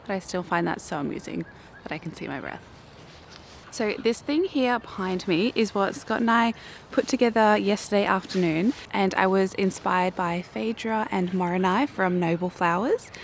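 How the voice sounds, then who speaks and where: A young woman with an Australian accent and a croaky morning voice, chatting casually and self-mockingly to camera in her backyard garden. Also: medium pitch, normal speed, slight background noise. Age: young adult. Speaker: flower farming vlogger. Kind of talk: casual vlog talk to camera.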